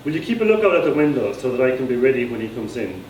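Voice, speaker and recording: A man's voice: medium pitch, big pitch swings, racing, a little mellow, reverberant, close to an everyday voice. Composed Irish bride-to-be, read by a man, plain-spoken and patient for a storybook, distant mic with slight noise. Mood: matter-of-fact. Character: composed, sensible Irish bride-to-be, gently correcting.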